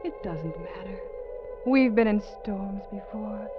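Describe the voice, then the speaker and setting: An actress playing a young wife's ghostly voice that calls to her husband softly and dreamily, in 1940s radio drama style. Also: mid-range voice, takes it slowly, natural voice. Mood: tender. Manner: soft, dreamy and beckoning. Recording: dull old radio recording over music.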